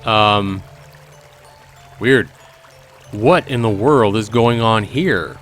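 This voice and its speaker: A man's voice: lowish voice, drawn-out words, a bit bright, faint music behind. A man hosting a let's play, reading a visual novel aloud expressively with casual commentary.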